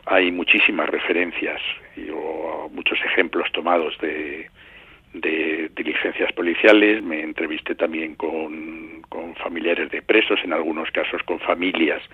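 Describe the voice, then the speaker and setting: A middle-aged or older man from Madrid with a Castilian accent, answering radio interview questions in a halting, measured way, searching for words. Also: rumbling low, little pitch movement, breathy edge, no echo. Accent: Castilian Spanish from Madrid. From Madrid, Spain. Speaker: journalist and novelist, interview guest. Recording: muffled phone line into radio show.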